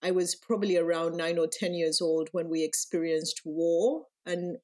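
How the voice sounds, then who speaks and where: A woman with a Ugandan accent speaks in a fairly low voice. She talks as a podcast guest in a reflective, measured way. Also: steady tone, a little mellow. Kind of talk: podcast interview.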